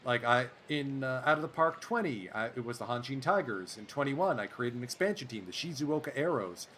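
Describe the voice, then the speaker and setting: A man doing play-by-play commentary over a baseball sim game, excitable and chatty, drifting into asides. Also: mid-range voice, brisk, slight background noise. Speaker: let's play host.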